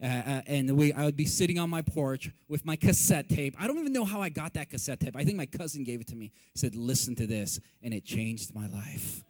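A Korean-born man who pastors a church, opening casually with rambling, self-deprecating stories and lots of halting fillers. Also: medium pitch, lively intonation, darkish tone. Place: church sanctuary.

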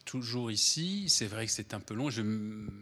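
A man who has a cold, a guest author talking in a rambling, animated way, with many restarts, before an audience at an event. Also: lowish voice, normal speed. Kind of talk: relaxed conversational talk at a public event.

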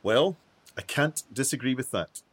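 A man's voice: medium pitch, animated, a little slow. Man playing a pompous, swooping radio show host. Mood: probing. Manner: self-important, showy. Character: pompous self-styled documentary maker and TV-style host, man. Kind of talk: mock broadcast presenting in radio play.

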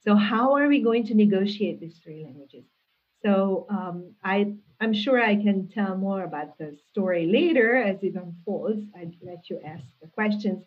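A woman, a guest answering interview questions in a thoughtful, measured way with frequent restarts, heard over a video call. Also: mid-range voice, expressive, breathy edge. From Hungary. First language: Hungarian. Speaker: guest, linguistics professor. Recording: tinny phone-like call audio.

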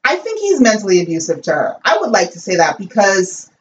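A woman's voice: medium pitch, wildly swooping pitch, a little fast. A woman talking in a scornful, opinionated way in a casual chat about a reality show. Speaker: co-host. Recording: echoey room, distant, dull, faint hiss.

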